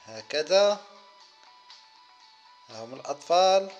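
Male children's game host, fairly high voice, short simple phrases, faint music underneath. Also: animated, slow pace, highs cut off. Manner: counts aloud, speaks in short simple phrases. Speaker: host of children's gaming videos. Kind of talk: simple gameplay commentary for young children.

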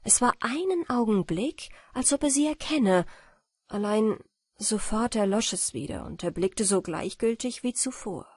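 Female audiobook narrator, fairy-tale style, unhurried, lively intonation, slightly husky. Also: on the high side, no echo, clean studio sound.

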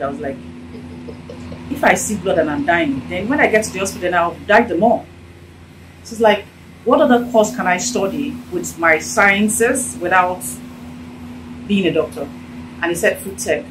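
A middle-aged Nigerian woman with a Nigerian accent, telling her story in a casual, animated interview in an office. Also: fairly low pitch, distant mic, background noise. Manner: animated, gesturing while talking. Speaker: interviewee, business owner. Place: indoor office with sofa. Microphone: handheld phone held out by interviewer.

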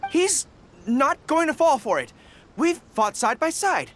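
An actor playing an earnest, easily flustered young dog-eared general in a video game. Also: male voice, extremely high pitch, very animated, unhurried, a bit bright, a little hiss or hum, exaggerated voice. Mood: uneasy, earnest. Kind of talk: acted video game dialogue. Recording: music behind the voice.

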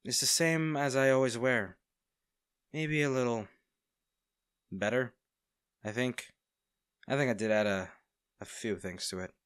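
A man acting as a smitten villain in an intimate audio roleplay, speaking directly to the listener with theatrical pauses. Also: mid-range voice, a bit bright, clean tone, no room sound, clean studio sound, natural voice. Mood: teasing, smug. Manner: teasing, theatrical, pausing, addressing the listener intimately. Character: male villain, teasing, smitten captor of a hero.

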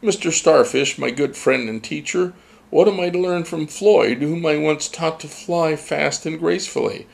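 An exasperated old seagull frets and pleads in a raised, gravelly voice, as a man reads him. He sounds flustered and plaintive. It is a storybook reading. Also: fairly high pitch, normal speed, pitched above the performer's own voice, rough recording. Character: male gull, anxious, exasperated old seabird.

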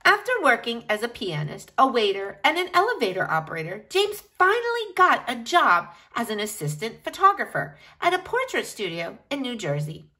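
A woman reads a storybook aloud for young children in a bright, high voice. Her delivery is lively and expressive, swinging up and down in pitch as she addresses her listeners directly. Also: normal speed, hardly any pauses. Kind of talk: expressive picture-book read-aloud for children.

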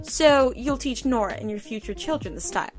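An actress playing a poised, politely curious young huntress student who speaks formally and precisely in an audio drama. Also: female voice, a little high, lively intonation, fairly quick, soft background music, lightly acted.

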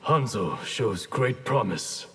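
A stern masked ninja master in a fighting game. He speaks solemnly and formally, with a slightly husky grain and a hall-like reverb around the voice. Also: lowish voice, lively intonation, slow, a bit bright, heavily acted. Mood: solemn, resolute. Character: stern, honor-bound masked ninja clan leader. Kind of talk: dramatic fighting game dialogue.

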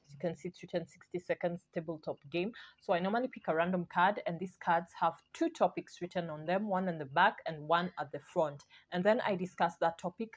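A woman's voice: fairly low pitch, a little fast, hardly any pauses, slightly rough. A young woman from Kenya, talking casually to camera in her bedroom as a vlogger, thinking aloud and repeating "basically". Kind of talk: casual solo vlog. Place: bedroom, indoors.

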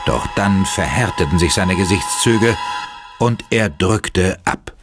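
A man's voice: sits low, takes it slowly. A man giving dramatic scripted narration for a German horror audio drama. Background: music.

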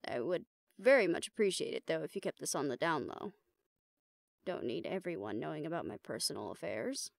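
Creaky-voiced, confident huntress played by a woman. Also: low voice, sing-song, a little fast, no echo, studio quality, exaggerated voice. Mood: businesslike, discreet. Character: confident, teasing female monster-hunter with a sharp tongue. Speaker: voice actor in audio roleplay. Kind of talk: intimate scripted audio drama acting.

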